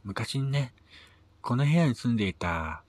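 A man's voice: mid-range voice, lively intonation, unhurried, no room sound, voice pushed up. A man voicing a polite, evasive landlord in a story reading, with a knowing, secretive manner.

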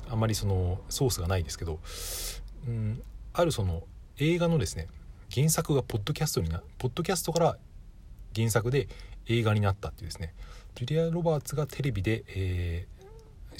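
Male solo podcast host, fast and conversational, slightly low husky voice, unscripted and self-correcting. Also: darkish tone. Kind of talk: casual solo podcast talk.

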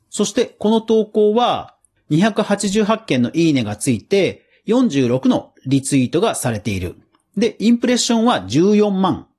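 A man hosting a podcast, giving casual solo commentary in an explanatory way, with emphatic phrasing and deliberate pauses. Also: fairly high pitch, darkish tone, slightly rough. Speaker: podcast host.

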